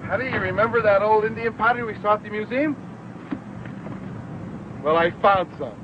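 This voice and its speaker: Actor as brash young husband, muffled noisy old film. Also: male voice, sits high, normal speed, natural voice. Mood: upbeat, confident. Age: young adult. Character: brash, overconfident young husband, an amateur pilot and photographer. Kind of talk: 1960s training film acting. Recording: muffled, noisy 1960s 16mm film sound.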